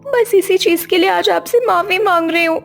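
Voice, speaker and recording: Chatty young wife, very high voice. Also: female voice, moderate pace, creaky, faint music behind. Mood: apologetic. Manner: talkative, complaining. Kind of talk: cartoon voice acting.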